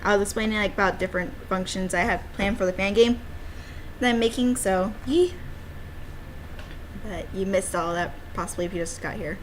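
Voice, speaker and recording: A woman with a mid-range voice chats casually on a livestream as an amateur game developer. She rambles playfully and drops in cutesy exclamations. There is clear noise behind her voice. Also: medium pitch, normal speed, poor audio.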